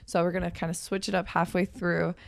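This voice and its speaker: A hoarse young woman in her twenties hosting a podcast in a chatty, upbeat way, her voice raspy from just waking up. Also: fairly low pitch, little pitch movement, fairly quick. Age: 20s. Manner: chatty and upbeat, with frequent fillers. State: hoarse from waking up and a weekend of talking. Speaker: podcast host and personal trainer. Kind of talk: casual chat.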